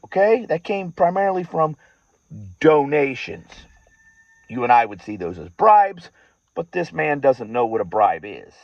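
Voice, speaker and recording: A man's voice: on the high side, dry recording. Indignant man, dull lo-fi recording. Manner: indignant, emphatic, repeats phrases for weight. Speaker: farmer and activist speaking to followers. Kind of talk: personal monologue to an audience, political grievance. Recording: dull lo-fi audio.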